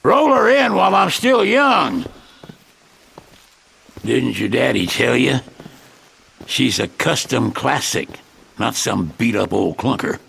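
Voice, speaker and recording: An actor playing a crotchety old garage owner in a video game, gruff and scornful, with a folksy way of talking. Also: male voice, medium pitch, sing-song, a bit bright, exaggerated voice. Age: older. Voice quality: gravelly, husky. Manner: slow, gruff, folksy drawl.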